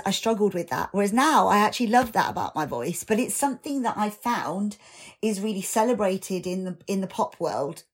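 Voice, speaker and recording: A woman in her thirties, a singer and actor, speaking candidly and reflectively in a podcast interview, her voice slightly raspy, with ums and restarts. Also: mid-range voice. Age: 30s.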